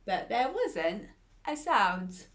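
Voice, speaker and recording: A woman reading a bedtime fairy tale aloud and chatting gently with young listeners between lines. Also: mid-range voice, very animated, slow pace. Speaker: storyteller.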